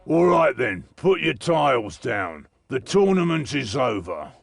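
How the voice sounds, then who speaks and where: An actor playing a menacing, taunting male bandit leader with a gravelly voice in a game. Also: mid-range voice, sing-song, measured pace, no echo, soft background music, full character voice. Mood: cold, threatening. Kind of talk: scripted game cutscene acting.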